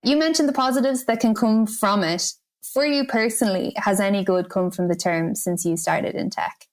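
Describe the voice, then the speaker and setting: A woman's voice: mid-range voice, moderate pace. A woman presenting a podcast in a conversational style, reporting and commenting as a co-host.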